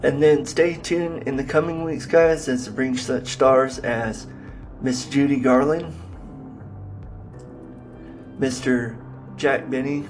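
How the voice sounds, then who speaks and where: Male podcast presenter, slow casual delivery, courteous phrasing, light guitar bed. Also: medium pitch, steady tone, darkish tone. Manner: addresses listeners as guys, formal Miss and Mister titles. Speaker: podcast host. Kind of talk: casual radio-show presenting. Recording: faint music behind the voice.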